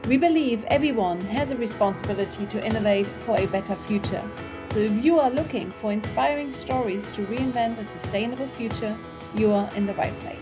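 A woman's voice: on the high side, fairly quick. A woman reading a polished, scripted podcast introduction. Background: music bed.

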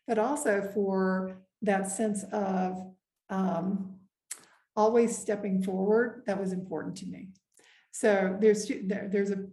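Measured, earnest woman in an echoey room. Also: mid-range voice, dark tone. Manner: earnest, measured, with frequent pauses and "um". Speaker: guest, executive. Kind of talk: reflective interview conversation.

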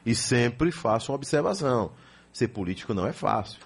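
A man hosting an interview show, chatty and quick with tag questions. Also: mid-range voice, creaky, breathy edge. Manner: lively introductions, chatty, tags questions with "né". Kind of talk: radio-style interview hosting.

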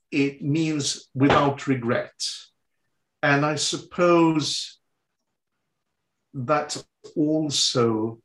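Slow, thoughtful man in his sixties. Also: mid-range voice, echoey room, rough recording. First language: French. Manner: thoughtful, hesitant, many ums and restarts. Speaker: interview guest. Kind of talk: relaxed conversational interview.

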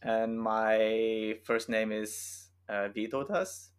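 A man from Lithuania with a Lithuanian accent, speaking English as a second language as an author guest on a casual podcast, thoughtful and unhurried. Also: on the low side, steady tone, clear, resonant tone. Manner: thoughtful, unhurried, with frequent fillers and restarts.

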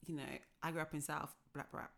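A young woman, chatting casually as a podcast co-host, playful and teasing with quick backchannels. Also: low-pitched, little pitch movement, fairly bright tone. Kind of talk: casual chat.

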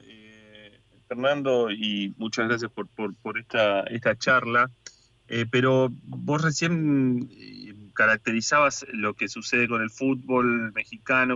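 A man with an Argentine accent hosting a sports radio show, asking conversational, slightly halting questions. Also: mid-range voice, bright, forceful tone, lo-fi sound. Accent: Argentine Spanish. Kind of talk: radio interview.

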